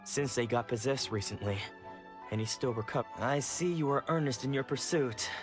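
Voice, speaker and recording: A young swordsman played by a man speaks in a mid-pitched voice with earnest, formal and protective conviction. It is video game dialogue with faint music underneath. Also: close to an everyday voice. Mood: apologetic, respectful. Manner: formal, earnest, measured phrasing. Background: music behind the voice.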